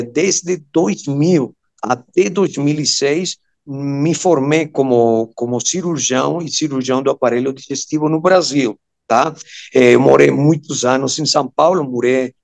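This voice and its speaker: Venezuelan-accented man in his fifties, creaky voice. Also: medium pitch. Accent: Venezuelan Spanish. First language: Spanish. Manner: halting, searching for words, often checking with "ok?". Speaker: guest, surgeon and amateur radio operator. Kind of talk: personal storytelling in an interview.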